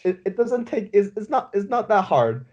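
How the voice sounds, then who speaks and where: A man's voice: sits high, big pitch swings, moderate pace, a little mellow, muffled recording. A man co-hosting a casual podcast, talking hesitantly with frequent restarts. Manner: hesitant, stammering, laughs often. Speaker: podcast co-host.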